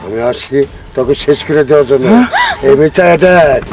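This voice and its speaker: A man's voice: on the high side, a little fast, recorded from a distance, natural voice. A man voicing a boastful cartoon villain who taunts and threatens. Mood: menacing. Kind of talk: Bengali cartoon voice acting.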